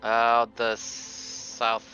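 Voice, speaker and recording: A man's voice: medium pitch, completely flat delivery, extremely slow, bright, forceful tone, muffled recording. A man chatting casually as a tabletop game player, thinking aloud a bit hesitantly. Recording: music playing behind the voice.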